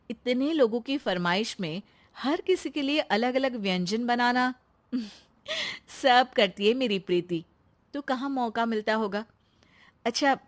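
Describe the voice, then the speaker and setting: A woman voicing a confident elder daughter-in-law in a family story, brisk, courteous and composed. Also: medium pitch, close to an everyday voice.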